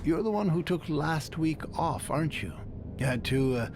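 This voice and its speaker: An actor playing a stern, condescending male hotel boss with a halting manner in a video game. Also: fairly high pitch, animated, very noisy, lightly acted. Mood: disapproving, suspicious. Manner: halting, throat-clearing, condescending.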